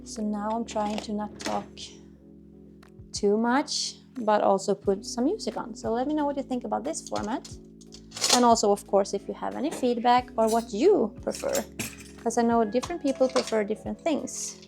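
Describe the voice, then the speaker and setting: Chatty Swedish-accented woman, gravelly edge. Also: on the high side, moderate pace. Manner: chatty, searching for words, restarts sentences. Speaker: cleaning vlogger. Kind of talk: casual chatty talk to camera while cleaning. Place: home kitchen. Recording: music playing underneath. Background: dishes clinking, quiet music.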